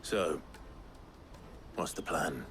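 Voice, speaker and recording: An actor playing a stern, terse warrior hero in a video game. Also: male voice, rumbling low, very slow, fairly bright tone, faint music behind, full character voice. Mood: businesslike.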